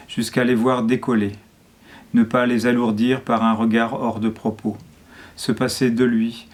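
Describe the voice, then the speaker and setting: A man reading a poem in a measured, solemn way, phrase by short phrase. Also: medium pitch, a little mellow. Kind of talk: poetry reading.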